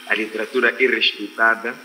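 Sharp, low-voiced Mozambican man in echoing space. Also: level tone. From Quelimane, Mozambique. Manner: deliberate, repeats and rephrases phrases, often adds "né" as a tag. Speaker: guest writer on a literary panel. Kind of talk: panel discussion, extempore opinion. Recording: reverberant, noisy video-call audio.

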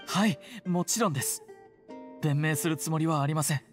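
A woman's voice: low-pitched, brisk, close to an everyday voice. A woman voicing an earnest, timid phantom hunter in a Japanese game, hesitant, polite and formally apologetic. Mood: remorseful, resolved. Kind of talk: Japanese anime game voice acting. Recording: music behind the voice. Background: game music.

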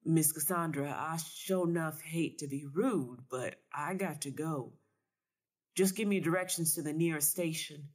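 A woman's voice: deep voice, measured pace, breathy edge. Woman acting young Southern man, bewildered, hesitant, polite, wary, storytelling. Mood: polite, uneasy.

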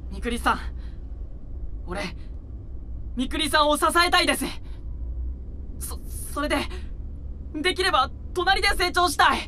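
A man's voice: very high voice, animated, measured pace, long pauses, pushed and bright, full character voice. An actor playing an eager, easily flustered young male idol in a voice drama, with a polite, earnest, stammering manner. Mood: earnest, determined. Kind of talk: Japanese anime-style voice drama acting. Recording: binaural recording with vehicle noise behind.

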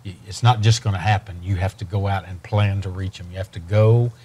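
A man's voice: deep voice, steady tone, fairly quick, darkish tone, husky. An older man, a ministry leader on a TV studio set, speaking earnestly and repeating key phrases for emphasis. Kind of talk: earnest interview talk.

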